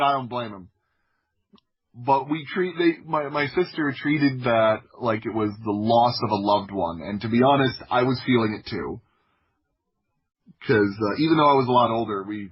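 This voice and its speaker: A man from the United States telling personal stories casually as a podcast guest, in an informal, humorous way with a husky voice. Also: mid-range voice, lo-fi sound. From United States (Florida). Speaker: podcast guest, audio drama creator.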